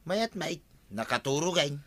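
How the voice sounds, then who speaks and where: A man's voice: a little high, lively intonation, normal speed, fairly bright tone. An actor with an Ilocano accent playing a casual, talkative male neighbour in a comedy drama.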